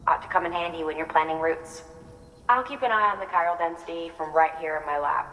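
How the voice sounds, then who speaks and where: An actress playing a composed scientist in a video game, briefing a courier in a conversational, explanatory way. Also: female voice, on the low side, brisk, a bit bright, natural voice. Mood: informative, encouraging. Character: composed female lab scientist guiding a courier. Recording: reverberant hall sound, faint noise.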